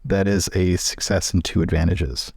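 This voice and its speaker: A man's voice: low voice, flat delivery, normal speed, gravelly, a little mellow, breathy edge, no room sound, studio quality. A man playing a tabletop game, casually describing what his character does.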